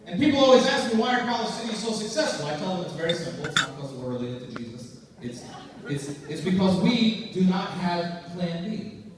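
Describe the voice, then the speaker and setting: A young man with a high voice does an animated stand-up set, often restarting his sentences. He is heard from a distant mic in an echoey conference hall. A noisy crowd sits behind him. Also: brisk. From United States. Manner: animated storytelling with frequent restarts. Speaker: stand-up comedian. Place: conference stage before a live audience.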